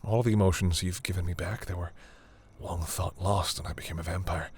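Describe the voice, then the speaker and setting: Man acting as a deep, gravelly vampire lord. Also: fairly even intonation, fairly quick, a little mellow, exaggerated voice. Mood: tender, confessional. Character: proud male vampire lord, flustered, secretly tender toward human lover. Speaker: audio roleplay performer. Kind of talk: intimate scripted audio roleplay acting.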